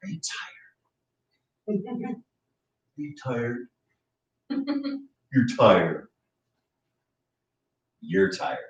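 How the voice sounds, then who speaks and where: A man's voice: high voice, sharp tone, full character voice. A man playing a bitter, drunken man tormented by grief and guilt in a staged reading, with a mocking, theatrical, needling manner. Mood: mocking, heated. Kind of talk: dramatic monologue in a staged play reading. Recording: roomy, echoey, distant mic.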